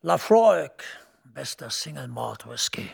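An actor playing a calculating male EU director general, measured and authoritative, dropping into hushed asides. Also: medium pitch, big pitch swings, creaky, husky, full character voice. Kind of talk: German TV drama acting.

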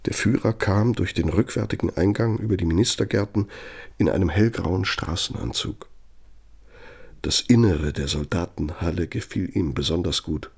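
An actor playing an embittered, loyal old aide to an architect in a radio play, confiding and sardonic, speaking deliberately with pointed pauses. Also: male voice, low-pitched, creaky, darkish tone, breathy edge, heavily acted. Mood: nostalgic, reverent. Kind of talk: German radio play monologue.

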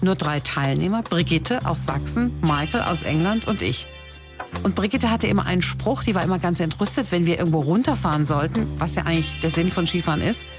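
A woman presenting a radio music feature, telling personal stories in a lively, chatty way. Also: fairly low pitch, brisk. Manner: lively storytelling, chatty asides. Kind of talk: personal scripted narration.

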